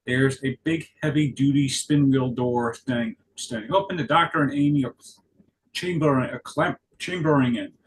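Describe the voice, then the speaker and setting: A man narrating stage directions at a script table read, halting and correcting himself as he goes. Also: mid-range voice, rough recording. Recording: clear background noise.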